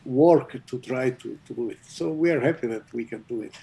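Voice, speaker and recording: Gravelly-voiced man, Italian native speaker. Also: medium pitch, dark tone, a little hiss or hum. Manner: halting, restarts often, laughs at own jokes. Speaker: archive director. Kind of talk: interview conversation.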